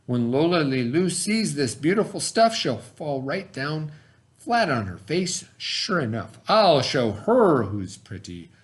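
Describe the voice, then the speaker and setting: A man's voice: on the high side, big pitch swings, slow. A man voicing a vain, boastful young female bird in a children's storybook, exclaiming with gleeful, gloating delight.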